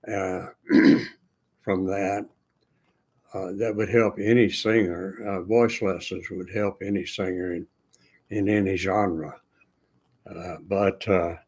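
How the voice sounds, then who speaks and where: An older man speaking his thoughts slowly with many pauses and fillers, a retired illustrator talking about singing. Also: fairly low pitch, darkish tone. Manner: slow, hesitant, many "uh" fillers and restarts. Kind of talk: unscripted personal talk to camera.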